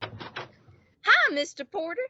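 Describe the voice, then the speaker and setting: Woman playing a very high-pitched lovesick teenage boy. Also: big pitch swings, very slow, bright, forceful tone, highs cut off, a little hiss or hum, heavily acted. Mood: indignant, nervous. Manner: earnest, overwrought. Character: lovesick, anxious, cowardly teenage boy, squeaky and melodramatic. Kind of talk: old-time radio comedy acting.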